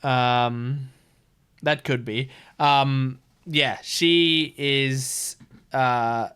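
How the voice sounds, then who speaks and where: A man's voice: mid-range voice, steady tone, very slow, sharp tone, clear, resonant tone. A man hosting a reaction video, giving casual, gushing and enthusiastic commentary.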